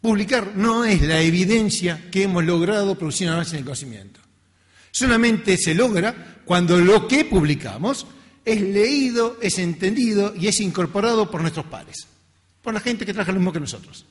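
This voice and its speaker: A man's voice: sits high, animated, moderate pace. A middle-aged man with a Latin American accent giving an informal academic talk in a conversational, self-deprecating way. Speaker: research scientist giving a lecture. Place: lecture hall. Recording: reverberant hall.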